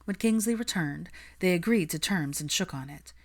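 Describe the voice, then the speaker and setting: Low-pitched woman narrating a story. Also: expressive, normal speed. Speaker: audiobook narrator of fan fiction.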